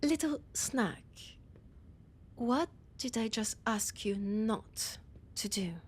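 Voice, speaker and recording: A woman's voice: fairly high pitch, takes it slowly, husky. A woman with a French accent voicing an annoyed, imperious vampire scholar in an intimate audio roleplay, haughty and commanding, often slipping into a whisper. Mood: stern, teasing menace.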